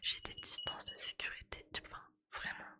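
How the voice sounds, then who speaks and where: A woman's voice: normal speed, sharp tone. A woman whispering breathily in a casual, playful ASMR chat, with slangy, colloquial speech as she streams to her viewers.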